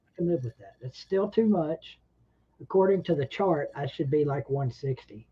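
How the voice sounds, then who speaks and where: A man's voice: on the high side, expressive, normal speed, husky, highs cut off. A man hosting a casual livestream chat, rambling and laid-back, a bit short of breath. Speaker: livestream host.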